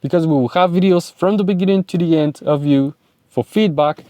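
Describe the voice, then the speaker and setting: A young Bulgarian-accented man lectures with pushy, repetitive emphasis, his voice sitting a bit high yet mellow and soft-edged. The sound is close and dry, with no room echo. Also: brisk. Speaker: self-improvement vlogger and speaking coach. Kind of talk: motivational talk to camera.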